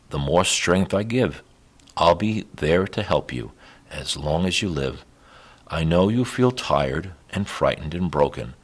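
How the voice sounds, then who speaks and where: A man playing a calm, wise holy man in a storybook, speaking kindly, softly and solemnly. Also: deep voice, heavily acted.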